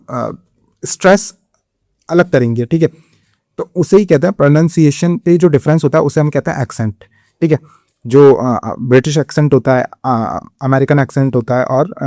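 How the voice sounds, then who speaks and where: A man with an Indian accent lectures like a coaching-class teacher, explaining step by step and pausing to check understanding. His voice is dark and mellow, recorded close and dry with no room sound. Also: mid-range voice, dry recording. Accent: Indian English. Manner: explanatory, repeats "theek hai" to check understanding. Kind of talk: exam-prep lecture.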